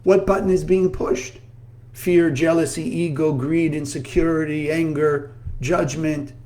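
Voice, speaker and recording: A man giving a spiritual talk as a teacher, earnest and measured, slowly listing virtues. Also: on the high side, fairly even intonation, a little mellow.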